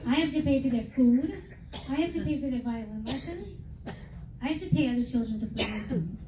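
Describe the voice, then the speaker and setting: A woman's voice: high voice, animated, a little fast, recorded from a distance, very noisy. A middle-aged woman from New York doing a stand-up comedy set, brash and self-mocking, acting out the people in her stories. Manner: brash, self-mocking punchlines, quoting others in raised voices. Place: comedy venue with a live audience. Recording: echoey room, mic heard from afar, loud crowd laughter.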